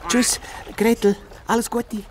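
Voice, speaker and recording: An actor voicing a brave, stubborn hedgehog detective's assistant in a children's radio play, earnest and defiant, with a Swiss German accent. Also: male voice, very high voice, level tone, unhurried, noisy background. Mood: encouraging.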